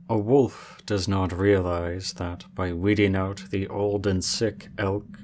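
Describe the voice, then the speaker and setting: Old cool-headed dragon guy, man doing the voice, flat steady tone, faint ambience behind. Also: low-pitched, slight background noise. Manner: formal, measured, reserved speech. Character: ancient aloof male dragon in human form. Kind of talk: ASMR roleplay.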